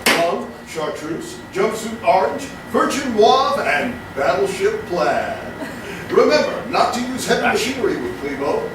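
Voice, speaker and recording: A man's voice: high-pitched, big pitch swings, measured pace. A man hosting a live comedy show, delivering a parody radio commercial like a mock-earnest announcer. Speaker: host reading a mock sponsor ad. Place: live stage show in a theatrical venue. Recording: very echoey, distant live room, noisy.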